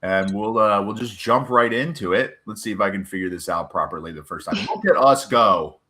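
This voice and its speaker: A man's voice: fairly low pitch, a little fast, sharp tone. A man hosting a casual livestream chat, joking and self-deprecating. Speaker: livestream host.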